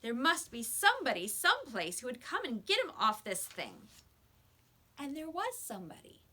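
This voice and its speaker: A woman reading a children's story aloud with expressive, scripted narration. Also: high-pitched, moderate pace, slightly rough.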